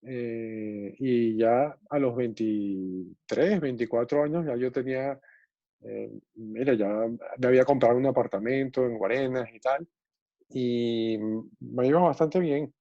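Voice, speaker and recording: A man in his forties from Venezuela with a Venezuelan accent, telling his story as a guest on a video call in a relaxed way with many pauses and fillers. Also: medium pitch, dry recording. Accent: Venezuelan Spanish. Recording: muffled video call audio.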